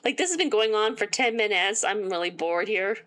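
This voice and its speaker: Woman reading a game's story aloud between dry asides, voice wearing thin; mid pitch. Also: animated, fairly quick, fairly bright tone. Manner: reads game text aloud and breaks off with sarcastic asides. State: losing her voice. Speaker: gaming YouTuber. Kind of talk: reading a visual novel aloud with commentary.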